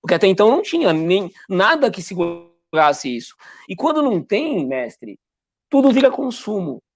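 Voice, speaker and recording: A man with a high, very animated voice hosts a live-streamed interview over a video call. He reasons aloud and reflectively, posing rhetorical questions as he goes. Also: moderate pace, slightly rough.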